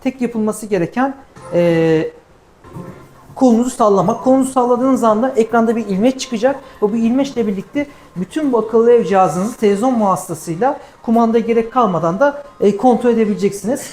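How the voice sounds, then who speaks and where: A woman's voice: fairly high pitch, a little fast, a little mellow. A woman giving a live product demonstration, explaining things step by step as a presenter.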